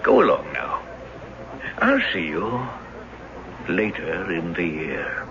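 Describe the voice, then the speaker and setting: An actor playing a calm, atoning ghost with a measured, reassuring manner in a radio drama. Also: male voice, deep voice, crowd noise, full character voice. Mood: calm, assured. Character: calm, atoning male ghost of a former coworker. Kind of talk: old-time radio drama acting. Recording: muffled noisy radio drama recording.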